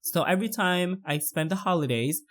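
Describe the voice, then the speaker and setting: Twenties man from the Philippines, casual rambling voice-over, a touch high-pitched. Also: normal speed. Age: 20s. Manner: chatty, rambling, many fillers. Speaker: YouTuber narrating a build.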